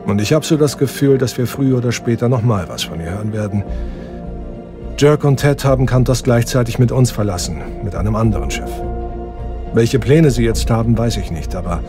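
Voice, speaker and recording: A man's voice: medium pitch, expressive, a little fast, music in the background, close to an everyday voice. A man playing an earnest young space hero who leads his crew, speaking steadily in an audio drama. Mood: calm. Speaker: spaceship captain.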